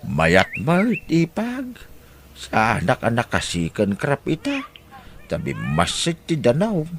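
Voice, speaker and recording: A man's voice: mid-range voice, huge pitch swings, slow pace, heavily acted. A man playing a humble older farmer and grandfather, talking deferentially in an audio drama. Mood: humble, friendly. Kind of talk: conversational audio drama acting. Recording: clearly noisy background.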